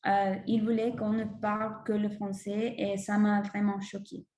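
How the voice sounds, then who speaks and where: A woman's voice: mid-range voice, level tone, unhurried, a little mellow, lightly acted. A woman playing a young immigrant woman recounting her school years, speaking hesitantly with many fillers. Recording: roomy echo.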